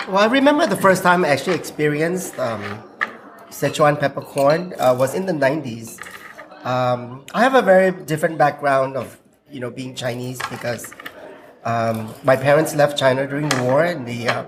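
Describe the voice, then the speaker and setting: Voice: mid-range voice, expressive, moderate pace, background noise. A diner from Singapore, reflectively recalling food memories over lunch, with many ums and you-knows. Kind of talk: casual lunch conversation. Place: restaurant table during a meal. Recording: restaurant with clinking cutlery and dishes.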